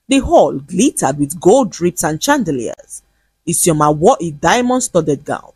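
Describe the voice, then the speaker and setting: A woman tells a comic moral story, her low, husky voice swinging widely in pitch as she narrates. The sound is dry and close, with no room around it. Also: no room sound. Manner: lively, comic, expressive.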